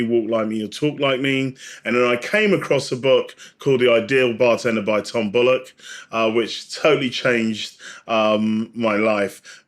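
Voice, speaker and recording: A man speaking as an interview guest, explaining and telling history in a conversational, searching way. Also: mid-range voice. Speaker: podcast guest, bartender and author.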